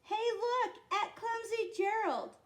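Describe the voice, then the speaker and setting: A woman voicing excitable jungle animals in a children's storybook, exclaiming and drawing out her words. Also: extremely high pitch, pitched above the performer's own voice. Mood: sneering, mocking. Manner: exclaiming, drawn-out taunts and cries. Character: jeering crowd of jungle animals, loud and excitable.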